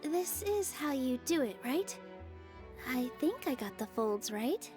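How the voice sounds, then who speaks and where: A woman voicing a gentle young forest fairy in a video game, soft and earnest, with music behind. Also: high voice, very animated, slow, exaggerated voice. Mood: thoughtful.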